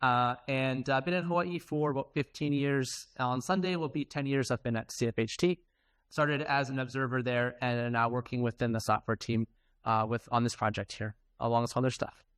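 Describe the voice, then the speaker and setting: A middle-aged man presents technical material at a conference. His voice is clean and resonant, at an average male pitch. He explains things in an informal, conversational manner. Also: steady tone, normal speed, a bit bright, clean tone. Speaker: conference presenter, software team member.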